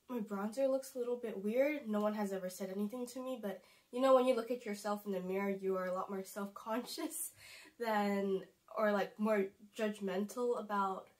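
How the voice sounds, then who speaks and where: A young woman with a stuffy, congested voice from a cold, chatting casually to camera as a beauty vlogger, rambling and correcting herself. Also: on the high side.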